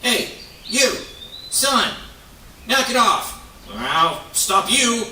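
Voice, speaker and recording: An excitable young-hearted beaver puppet, acted out by a man, naive and curious, with a high voice that swings up and down. The delivery is exclamatory and easily upset, heard in an echoey room. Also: extremely slow, exaggerated voice. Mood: indignant. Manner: exclamatory, questioning, exaggerated. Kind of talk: children's puppet show acting. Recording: echoey room, distant mic, noisy.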